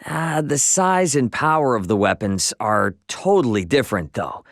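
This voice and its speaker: An easygoing young male video game hero, with a lively, swinging delivery and a mid-range voice. He sounds cocky but good-natured, casual and teasing. The recording is close and dry. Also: medium pitch, moderate pace, dry recording. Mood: wry. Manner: casual, slangy, teasing, expressive. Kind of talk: English video game dialogue acting.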